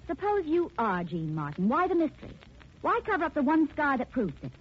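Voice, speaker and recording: An actress playing a sceptical, sharp-tongued wisecracking sidekick in sardonic 1940s radio style. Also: female voice, high voice, wildly swooping pitch, fairly quick. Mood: sceptical, sarcastic. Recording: muffled, noisy 1940s radio recording.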